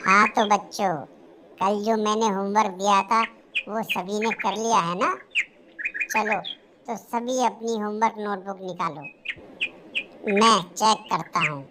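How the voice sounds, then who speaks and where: A woman's voice: medium pitch, fairly even intonation, unhurried, long pauses, very noisy, close to an everyday voice. A woman voicing a stern, authoritative male schoolteacher bird in a cartoon story. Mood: brisk. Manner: scolding, authoritative.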